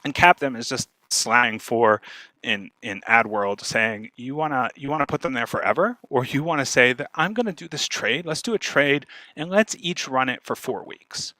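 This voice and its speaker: A man giving an informal instructional talk as a speaker, talking fast and animatedly and often checking in with his listeners. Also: medium pitch, no room sound, poor audio. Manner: fast, animated talker who checks in with "right?".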